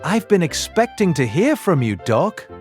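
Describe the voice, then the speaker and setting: Casting: gruff, fatherly old inspector, teasing and hearty, hugely animated delivery, audiobook mystery drama. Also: on the high side, a little slow, faint music behind. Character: genial, irritable old police inspector, father of grown son.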